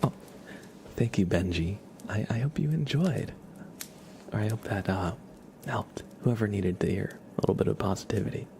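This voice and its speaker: A man hosting an ASMR livestream in a soft, gentle, encouraging voice that often slips into whispering. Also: lowish voice, normal speed, a little hiss or hum. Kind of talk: ASMR, soft-spoken live stream with whispering.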